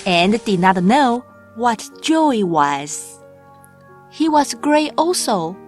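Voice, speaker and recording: Slow-paced woman narrating a children's storybook. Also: medium pitch. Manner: measured, deliberate read-aloud delivery. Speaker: audiobook narrator. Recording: music bed under the voice. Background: background music.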